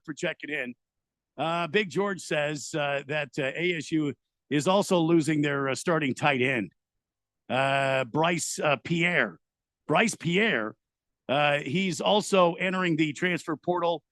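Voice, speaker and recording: A man's voice: on the high side, a bit bright, dry recording. A man hosting a live sports talk radio show, chatty and full of hesitant fillers and restarts.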